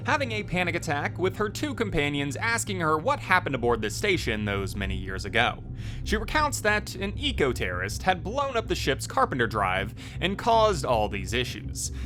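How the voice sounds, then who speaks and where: A man giving scripted narration as a video host. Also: on the high side. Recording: music playing behind the voice. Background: loud music.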